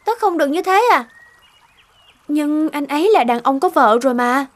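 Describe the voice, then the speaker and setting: High-voiced young cartoon woman. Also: expressive, normal speed. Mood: insistent. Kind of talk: animated cartoon dialogue.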